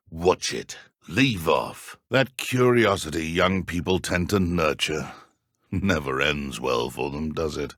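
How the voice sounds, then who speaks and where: A man with a British accent voicing a ruthless, sneering dark wizard in a video game, menacing and mocking. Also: low-pitched, no echo, clean studio sound, exaggerated voice. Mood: cold threat. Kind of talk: video game combat and idle voice lines.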